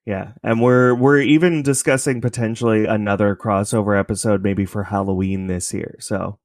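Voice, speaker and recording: A man's voice: fairly low pitch, steady tone, moderate pace, a little mellow, no echo, clean studio sound. A man talking casually as a podcast guest, explaining things in a rambling, self-correcting way. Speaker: podcast creator, interview guest. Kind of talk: casual interview chat.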